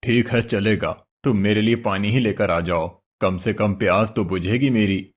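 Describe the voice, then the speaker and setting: A man's voice: fairly low pitch, moderate pace, close to an everyday voice. Weary old holy man played by a man. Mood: grateful. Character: hungry, weary old wandering holy man granting boons. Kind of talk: Hindi cartoon story voice acting.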